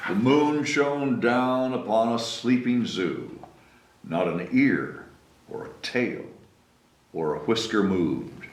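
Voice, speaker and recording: A man's voice: lowish voice, expressive, takes it slowly, slight background noise. A man hosting story time, reading expressively to children and chatting casually between passages. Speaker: story time host and reader. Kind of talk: expressive children's read-aloud with casual asides. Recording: echoey room.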